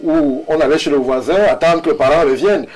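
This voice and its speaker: A man's voice: on the high side, fairly even intonation, brisk, a crowd in the background, poor audio. A man who hosts a studio debate, steering it with short prompts and questions. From Cameroon. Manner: brief prompts and interjections. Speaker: host.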